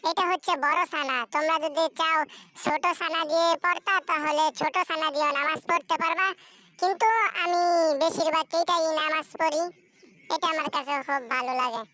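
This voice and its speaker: A woman's voice: sits high, steady tone, pushed and bright. A woman voicing a patient cartoon teacher. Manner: patient, instructive, recites slowly for repetition. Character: kind female teacher of young children. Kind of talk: cartoon voice acting.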